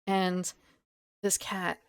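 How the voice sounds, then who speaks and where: A woman streamer chatting casually with her viewers, rambling, giggling and correcting herself, her voice still croaky from just waking up. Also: on the low side, little pitch movement, drawn-out words. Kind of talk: casual live-stream chat.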